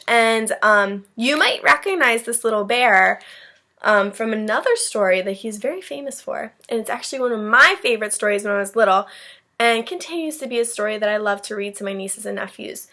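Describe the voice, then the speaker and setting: A woman teacher reading a storybook aloud to young children, pausing to explain things simply. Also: a little high, bright, forceful tone.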